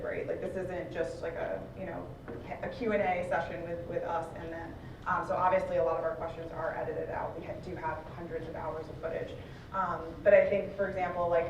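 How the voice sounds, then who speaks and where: A woman filmmaker explaining her work in an unscripted panel discussion, circling through her points with frequent "like". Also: on the low side. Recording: roomy, distant mic, clear background noise.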